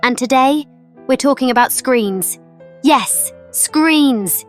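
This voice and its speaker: A woman's voice: high-pitched, slow pace, soft background music. A woman voicing a sweet but bossy pink pig puppet who lectures children emphatically in a puppet show. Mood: perky, instructive. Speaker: children's segment presenter.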